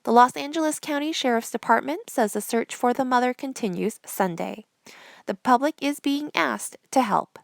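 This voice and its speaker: A woman presenter reading scripted news headlines in a measured, formal newsreader delivery. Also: high voice, creaky, dry recording, clean studio sound.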